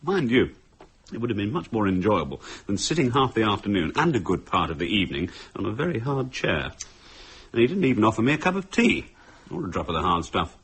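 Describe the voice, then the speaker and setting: An actor playing a breezy, glib husband with a jovial, flippant manner in a radio play. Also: male voice, on the low side, very animated, brisk, a bit bright, husky, full character voice. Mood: amused, teasing. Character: breezy, glib husband smoothly explaining himself.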